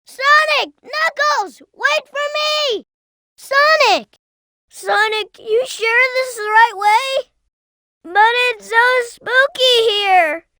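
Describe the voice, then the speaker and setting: A performer voicing a young boy fox sidekick in a video game, childlike, earnest and excitable, eager to explain things. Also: very high voice, takes it slowly, slight background noise, exaggerated voice. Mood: urgent, eager, then nervous, alarmed. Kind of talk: video game character voice acting.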